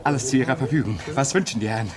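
A man's voice: medium pitch, racing, a crowd in the background, natural voice. A man playing a bitter, brooding man with a sardonic, gloomy way of talking, in a radio drama.

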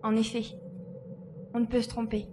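A high, girlish voice, acted by a woman, plays a resourceful adventurer in an audiobook drama. She is lively, confident and a little teasing, explaining things with assurance. A faint noise sits behind her. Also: normal speed, close to an everyday voice. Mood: focused.

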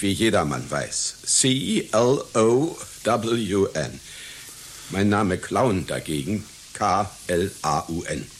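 An actor playing a pompous, proud clown with a doctorate, speaking in deliberate, formal diction in a satirical radio play. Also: male voice, low-pitched, full character voice. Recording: hissy radio play recording.

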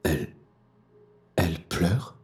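An actor playing a paranoid, brooding police inspector on the run, narrating a noir audio drama in coarse first person. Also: male voice, low voice, lively intonation, slow, darkish tone. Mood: troubled, resolute. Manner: gritty, coarse, brooding inner monologue.

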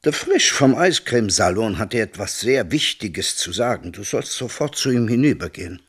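An actor in a German radio play, playing a brisk messenger with a husky voice and a curt, emphatic manner. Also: male voice, lowish voice, sing-song, fairly bright tone, heavily acted. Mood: urging, curt. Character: brisk messenger who keeps out of others' affairs.

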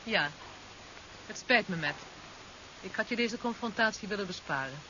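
A woman's voice: mid-range voice, lively intonation, normal speed, close to an everyday voice. A woman playing a cold, resolute alien agent who still loves the man she opposes, controlled and ominous, in a 1970s radio drama. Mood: regretful. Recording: muffled, hissy 1970s radio recording.

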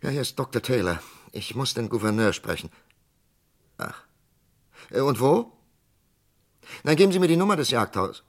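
An actor playing a persistent, probing doctor in a German radio crime drama, with an insistent, questioning manner. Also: male voice, mid-range voice, expressive, normal speed, long pauses. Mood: pressing, impatient. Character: persistent, probing male doctor challenging a death sentence. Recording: faintly noisy vintage radio drama recording.

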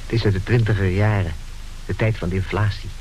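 Man acting a wry, low-voiced radio play narrator. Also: fairly even intonation, quick pace, darkish tone, lightly acted, poor audio. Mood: wry, mock-solemn. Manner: mock-solemn, addressing listeners formally. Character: wry male narrator warning of a rogues' comedy. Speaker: announcer introducing a radio play. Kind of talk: theatrical scripted radio narration. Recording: hissy old radio drama recording.

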